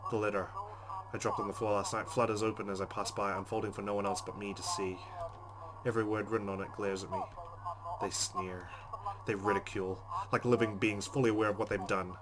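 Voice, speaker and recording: A man's voice: lowish voice, level tone, fairly quick. A man hosting a let's play, reading visual novel narration aloud in a dramatic way. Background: game music.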